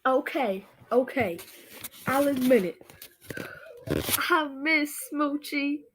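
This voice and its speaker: A woman playing a bossy male gangster boss with a soft spot for dogs in a student radio play. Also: sits high, expressive, slow, a little hiss or hum. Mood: wounded, sheepish.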